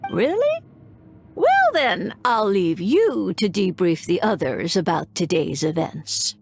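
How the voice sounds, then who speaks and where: A woman's voice: mid-range voice, sing-song, slow, creaky, slightly rough, full character voice. A woman playing a playful older witch in a toy body in a video game, with a grand, teasing delivery. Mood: amused approval. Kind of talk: scripted video game voice acting. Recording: game music loud behind voice. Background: loud game music.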